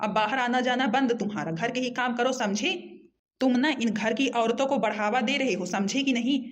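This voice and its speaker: A woman's voice: a little high, moderate pace, a little hiss or hum, close to an everyday voice. A woman voicing a domineering, sarcastic elder brother-in-law in an amateur cartoon comedy. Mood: scolding, indignant. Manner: sarcastic, hectoring.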